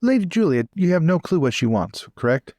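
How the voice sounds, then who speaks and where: A man's voice: fairly high pitch, big pitch swings, fairly quick, a little mellow, dry recording, studio quality. A man playing a wry companion in a role-play drama, making teasing asides.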